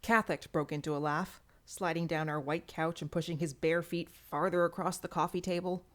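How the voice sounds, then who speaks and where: A woman's voice: on the low side, steady tone, moderate pace. A woman reading a first-person story aloud as an audiobook narrator. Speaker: audiobook reader. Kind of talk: scripted fiction narration.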